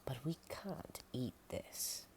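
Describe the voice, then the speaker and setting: A woman's voice: extremely low pitch, lively intonation, slow pace, gravelly, pitched above the performer's own voice, exaggerated voice. A woman whispering as a weak, starving rich traveler man. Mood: weary. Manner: whispered, hushed delivery.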